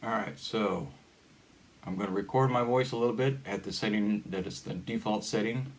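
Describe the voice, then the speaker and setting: A man giving a casual hands-on gadget demonstration in a halting, informal, step-by-step way. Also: fairly low pitch. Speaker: hobbyist demonstrator. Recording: steady background noise.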